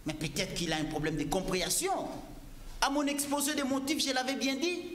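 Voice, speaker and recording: A man's voice: extremely high pitch, expressive, a little fast, a bit bright, reverberant, slight background noise. A man arguing insistently in a heated political debate, repeating his points and cutting in. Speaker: debate guest.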